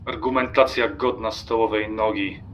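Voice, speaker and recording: An actor playing an indignant, obsessive train passenger in a radio play, theatrical and scornful. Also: male voice, lowish voice, fairly even intonation, normal speed, a bit bright, dull audio, a little hiss or hum, close to an everyday voice.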